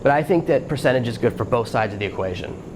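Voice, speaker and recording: A man's voice: medium pitch, expressive, fast talker, background noise. A man hosting a solo podcast, talking through practical steps in a plain, coaching way. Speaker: podcast host. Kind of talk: solo podcast advice talk.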